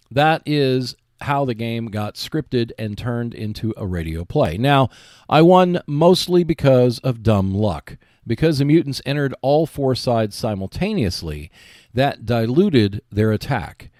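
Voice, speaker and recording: A man hosting a solo podcast about board games, speaking in measured phrases with emphatic stresses. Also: medium pitch, darkish tone, no echo, clean studio sound. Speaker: podcast host.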